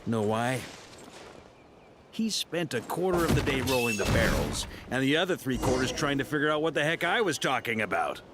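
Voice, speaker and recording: A man's voice: mid-range voice, expressive, moderate pace, noisy background, close to an everyday voice. An actor playing a boisterous male knight commander who loves a drink, talking in a jovial, folksy way as in a video game. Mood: amused, reminiscing. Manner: jovial, folksy, clipped storytelling.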